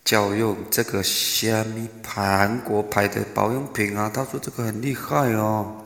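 Man playing a creaky, low-voiced Taiwanese granny. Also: fairly even intonation, a little slow, a bit bright. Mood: boastful, chatty. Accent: Taiwanese Mandarin. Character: slow, chatty, indignant old Taiwanese grandma tourist. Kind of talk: live audio drama acting. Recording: echoey, reverberant online audio room.

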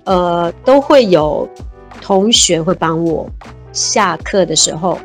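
A woman's voice: fairly low pitch, expressive, slow, faint music behind. A woman, talking reflectively as an interview guest and laughing easily. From Taiwan. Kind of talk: casual interview chat.

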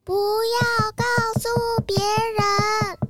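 A female storyteller voicing a small gossipy mouse in a children's storybook, calling out shrilly in a squeaky little voice. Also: level tone, extremely slow, heavy background noise, exaggerated voice. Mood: urgent, shrill.

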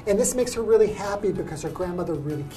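A woman's voice: lowish voice, animated, quick pace, over loud music. A young woman hosting a radio English lesson, patiently explaining words with everyday examples. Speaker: English teacher, host.

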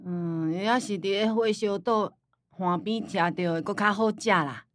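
A stubborn, forgetful old grandmother played by an actress in a radio drama. She scolds plainly in a Taiwanese accent, at a middling pitch, recorded close and dry. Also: measured pace, no room sound, natural voice. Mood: insistent. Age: older.

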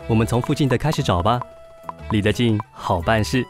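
A male actor playing a matter-of-fact, pushy young son in a radio drama, brisk and knowing, with a Taiwanese accent. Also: lowish voice, music in the background, lightly acted. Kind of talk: Mandarin radio drama acting.

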